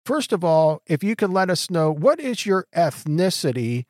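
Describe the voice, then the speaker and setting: A man's voice: high voice, very animated, moderate pace, darkish tone, dry recording, studio quality. A man hosting an interview, thanking his guests and asking them about their childhoods. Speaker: host. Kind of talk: interview host.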